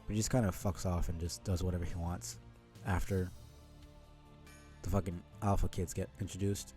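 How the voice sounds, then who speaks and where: A man's voice: deep voice, flat delivery, normal speed, mellow, faint music behind. A man giving casual, rambling live-stream commentary in a blunt, sweary way. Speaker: streamer reading a webcomic aloud.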